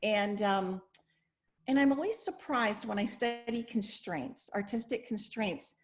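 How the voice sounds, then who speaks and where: An expressive woman speaking reflectively as a professor hosting an online discussion over a video call. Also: mid-range voice, measured pace. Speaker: host, English professor.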